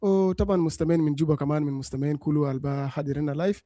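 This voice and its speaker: A man hosting a radio interview, asking a long question while switching between languages and pausing with many "uh"s. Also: on the high side, steady tone, mellow, no room sound, studio quality. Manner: mixes languages, hesitant with many "uh"s. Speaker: radio host.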